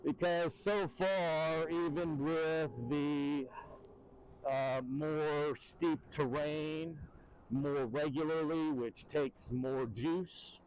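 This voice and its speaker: Deep-voiced man riding an e-bike. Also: steady tone, extremely slow, darkish tone. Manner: rambling, many fillers. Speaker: e-bike vlogger. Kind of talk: casual ride-along review. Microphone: helmet mic. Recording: wind-muffled helmet mic.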